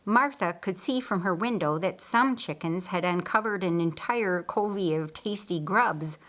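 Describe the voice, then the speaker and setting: A woman narrating a scripted story aloud in an expressive, deliberate way, as for an audiobook. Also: lowish voice.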